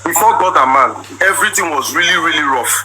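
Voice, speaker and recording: Loud high-voiced Nigerian man. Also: expressive, fast, heavy background noise. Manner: loud and emphatic, repeats his lines. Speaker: comedian. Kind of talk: comedic storytelling monologue.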